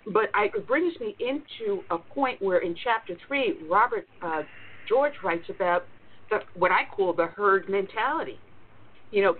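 A woman hosting a conservative talk radio show, talking forthrightly and emphatically with her guests. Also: mid-range voice. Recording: narrow phone-like line with faint noise.

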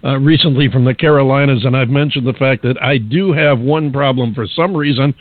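A man hosting a radio show, interviewing a guest in a genial, halting way with many restarts. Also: mid-range voice, dry recording, phone-quality sound.

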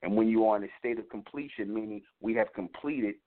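A man's voice: on the low side, fairly quick. Man preaching over a tinny phone line. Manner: preaching, repetitive emphatic phrasing. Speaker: internet radio host. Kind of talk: spiritual teaching monologue.

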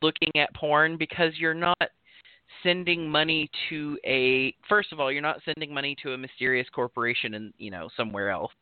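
A man's voice: fairly high pitch, brisk, clear, resonant tone, no echo. A man in his thirties, co-hosting a podcast in casual chat, rambling frankly with lots of fillers. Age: 30s. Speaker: podcast co-host. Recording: tinny phone-like call audio, dry.

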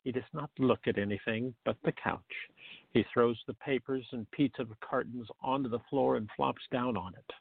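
A man's voice: medium pitch, normal speed, gravelly, husky, no room sound. A man reading scripted narration for a radio play, on muffled, narrow-band audio.

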